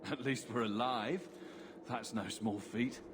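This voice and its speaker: An actor playing a pragmatic, protective young hero who speaks steadily in a fantasy game. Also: male voice, fairly even intonation, measured pace, close to an everyday voice. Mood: calm, firm. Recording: loud battlefield ambience and rumble.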